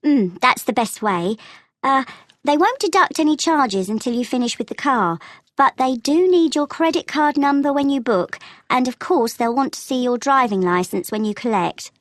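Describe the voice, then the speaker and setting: A woman playing a helpful, matter-of-fact travel agent in a scripted language-test dialogue, explaining things clearly. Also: sits high, animated, normal speed, dry recording, studio quality. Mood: helpful, advising.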